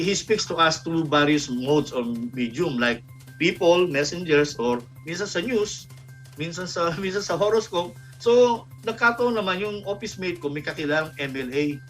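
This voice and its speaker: High-pitched Filipino man telling personal stories. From Philippines. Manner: emphatic, switches between Tagalog and English. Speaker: guest. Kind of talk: personal storytelling in conversation.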